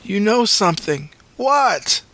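A man's voice: sits high, very animated, slow pace, sharp tone, no echo, pitched above the performer's own voice, full character voice. A man voicing a badly injured young man who whispers and demands answers in a strained voice. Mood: demanding.

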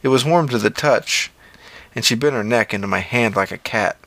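A man's voice: medium pitch, normal speed, fairly bright tone, dry recording. A man narrating a scripted first-person story as an audio fiction reader.

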